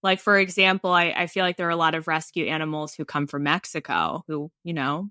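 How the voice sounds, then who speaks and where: Bright, enthusiastic woman hosting a podcast. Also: deep voice, a little fast, no room sound, clean studio sound. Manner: enthusiastic, affirming. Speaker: podcast host. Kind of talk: casual podcast interview.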